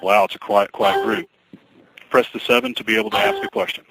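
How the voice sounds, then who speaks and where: A man speaking as a company executive, answering questions on an investor conference call in a measured, formal, guarded way. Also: lowish voice, very animated, creaky. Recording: noisy conference call recording.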